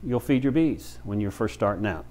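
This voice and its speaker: A man in his fifties giving an informal how-to demonstration in a plain, folksy, halting way, as a practical instructor. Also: lowish voice, lively intonation, warm tone. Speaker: beekeeper and bee farm shop owner, instructor. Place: small brick-walled room with many windows, indoors.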